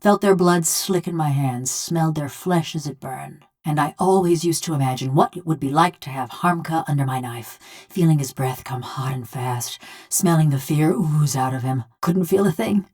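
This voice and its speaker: Vengeful female construct, deep voice, rustic folksy phrasing, brooding, wry, bitter, video game character. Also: normal speed, darkish tone. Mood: grim, brooding. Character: bitter vengeful female killer trapped in an unfeeling metal construct body.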